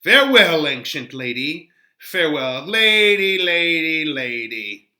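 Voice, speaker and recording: A man playing a crude, teasing young gentleman in a dramatic Shakespeare reading, declaiming theatrically with a drawn-out, mocking singsong. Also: on the high side, very animated, voice pushed up, full character voice. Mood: mock-courteous.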